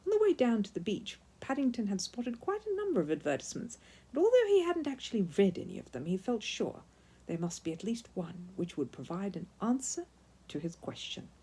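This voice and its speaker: A woman narrating a children's story in an expressive, emphatic read-aloud style. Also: mid-range voice, brisk, breathy edge.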